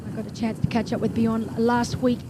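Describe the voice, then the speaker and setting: A woman with a bright, high voice calls a triathlon live, brisk and enthusiastic, with chatty asides. Engine and wind noise from the race can be heard clearly behind her. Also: level tone. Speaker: race commentator. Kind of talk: live sports commentary.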